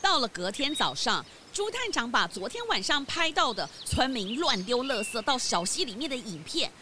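A woman's voice: a little high, very animated, moderate pace, noisy background. A woman narrating a children's mystery audio drama. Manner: clear, storytelling. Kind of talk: storybook narration.

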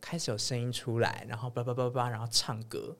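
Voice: medium pitch, flat delivery, fairly quick, a bit bright. A podcast host with a Taiwanese Mandarin accent, chatting casually in a playful, teasing way. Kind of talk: casual chat.

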